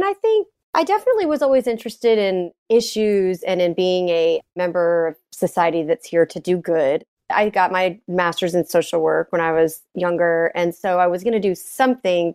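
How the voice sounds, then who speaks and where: Lowish-voiced Texas-raised woman, casual guest. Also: moderate pace, hardly any pauses, a little mellow, no room sound, studio quality. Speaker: interview guest, gun violence prevention advocate. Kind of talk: conversational interview answers.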